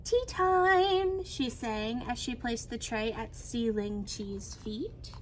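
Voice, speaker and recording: High-voiced woman reading a storybook aloud. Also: level tone, slow, a little mellow, slight background noise. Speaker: teacher reading a picture book aloud. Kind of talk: expressive children's storybook read-aloud.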